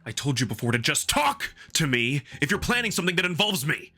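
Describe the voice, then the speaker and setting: A man's voice: a little high, big pitch swings, brisk, bright, forceful tone, faint music behind, full character voice. A man playing a hurt, stubborn human in a visual novel drama, blunt and confrontational in his delivery. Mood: angry, hurt.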